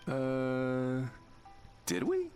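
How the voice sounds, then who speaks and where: A man's voice: mid-range voice, wildly swooping pitch, extremely slow, a little mellow, soft background music, heavily acted. An actor playing a carefree, easygoing townsman with a breezy, nonchalant manner in an anime video game. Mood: blithe.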